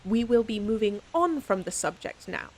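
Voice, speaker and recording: A woman's voice: medium pitch, lively intonation, moderate pace, slightly rough. A woman playing a proud, stern lady knight in an audio roleplay, scolding her companion in an exasperated but secretly fond way. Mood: defensive, haughty. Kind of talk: audio roleplay acting, speaking to the listener.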